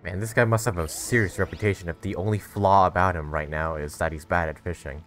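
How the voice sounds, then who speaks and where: A man's voice: deep voice, fast talker, soft background music. A man giving casual, slangy playthrough commentary, reacting expressively to a game's story. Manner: slangy, expressive, mock-incredulous. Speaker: game streamer reacting to story.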